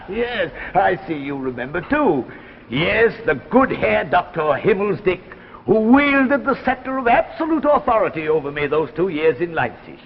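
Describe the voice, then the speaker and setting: A smug, eccentric old professor in a vintage radio play. His highish voice swoops widely and pompously through a dull, reverberant old recording. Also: big pitch swings, normal speed, slight background noise. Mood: gloating. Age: older. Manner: pompous, theatrical, savoring phrases. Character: retired physics professor, older man, smug eccentric inventor. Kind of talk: 1950s radio drama acting.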